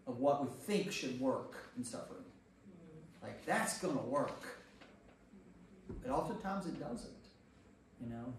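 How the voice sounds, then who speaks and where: Higher-voiced male pastor in an echoey room. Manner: conversational, repeats phrases for emphasis. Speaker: pastor leading a Bible study. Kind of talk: informal group teaching with questions to listeners. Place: echoey room with a small group. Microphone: distant room microphone. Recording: distant mic in echoey room, noise.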